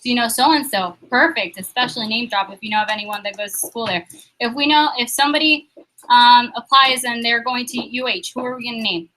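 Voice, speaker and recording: A young woman leading a sales training meeting, upbeat and quizzing the room with quick questions. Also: fairly high pitch, bright, forceful tone, rough recording.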